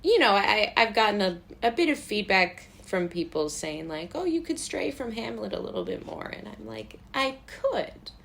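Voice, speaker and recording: A woman's voice: mid-range voice, very animated, normal speed, creaky, fairly bright tone, slight background noise. An American woman from Brooklyn talking casually as an interview guest, informal, rambling, amused and self-deprecating. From Brooklyn, United States.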